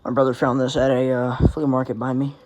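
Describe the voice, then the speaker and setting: A man talks through a collection in an offhand, rambling way. His voice sits at an average pitch, and the casual recording has clear background noise and clicks. He slips in mild swearing as he goes. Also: little pitch movement, a little fast, a little mellow. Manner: offhand, rambling, mildly profane. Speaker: radio collector showing collection. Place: indoors among working radios.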